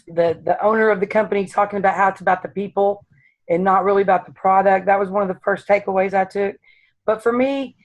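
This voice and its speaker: A raspy woman with a mid-pitched voice who has just woken up. She shares her thoughts on a call in an earnest, halting way, often restarting her sentences. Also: poor audio. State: just woke up. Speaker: caller. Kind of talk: casual sharing on a call.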